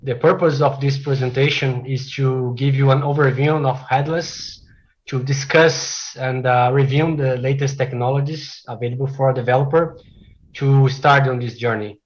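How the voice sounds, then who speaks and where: A man from Brazil whose first language is Portuguese, giving a technical presentation as a developer, with frequent "uh" fillers and measured delivery. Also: mid-range voice, steady tone, lo-fi sound. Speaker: conference speaker, software developer.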